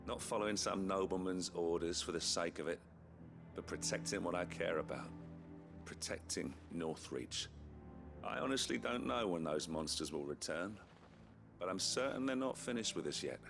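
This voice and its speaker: An actor playing a loyal, plain-spoken army captain in a fantasy game, sincere and courteous. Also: male voice, low-pitched, animated, normal speed, gravelly, music in the background. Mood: resolute. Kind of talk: scripted game dialogue.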